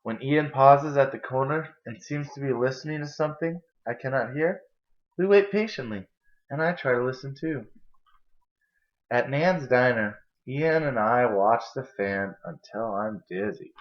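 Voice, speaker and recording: A young man reads a children's picture book aloud, narrating at a medium pitch. The recording is dull and lo-fi, picked up indoors by a camera a short way off. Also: measured pace. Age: young adult. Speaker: storybook reader. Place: indoor kitchen and living room.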